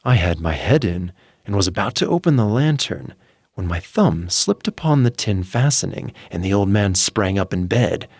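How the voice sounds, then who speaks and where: A man giving a scripted dramatic reading as narrator, confiding and intense, stretching out hushed words with a feverish edge. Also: low voice, very animated, no echo, studio quality. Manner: intense, confiding first-person telling with hushed drawn-out words.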